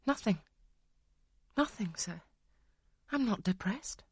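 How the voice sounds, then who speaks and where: Reader doing a timid young governess, soft and raised in pitch, husky, restrained. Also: lively intonation, slow pace, dry recording, clean studio sound, full character voice. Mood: reserved. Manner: quiet, restrained, deferential. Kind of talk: audiobook character reading.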